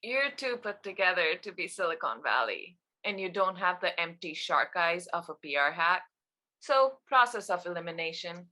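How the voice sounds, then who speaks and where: A woman playing a wry, unflappable congressional assistant with a dry, quick-witted manner. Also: fairly low pitch, very animated, sharp tone, low-quality recording. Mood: wry, confident.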